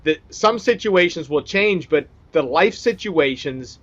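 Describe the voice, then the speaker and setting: A man with a fairly high voice chats casually as an interviewer. He is informal and a bit halting, and the sound is slightly dull and lo-fi. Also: animated, a bit bright, slight background noise. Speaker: interviewer, digital marketer. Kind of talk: casual chat.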